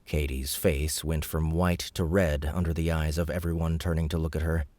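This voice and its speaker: A man's voice: very deep voice, level tone, normal speed, darkish tone, dry recording. A man reading scripted fiction narration for an audiobook.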